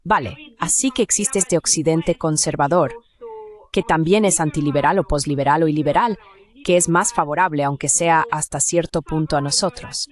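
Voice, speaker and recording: Low-pitched woman giving analytical answers. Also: normal speed. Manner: analytical, discursive, with rhetorical check questions. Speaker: academic expert guest. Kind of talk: explanatory interview answers.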